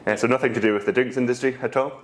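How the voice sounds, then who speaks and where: A young adult man from Scotland with a Scottish accent, answering interview questions in a relaxed way with frequent ums and pauses. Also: mid-range voice. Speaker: guest, whisky brand ambassador.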